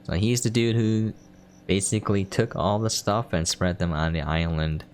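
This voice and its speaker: A man's voice: low voice, fairly even intonation, moderate pace, a little hiss or hum. A man casually explaining a game as he plays, in an offhand, explanatory way. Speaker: game preview host. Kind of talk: casual gameplay commentary.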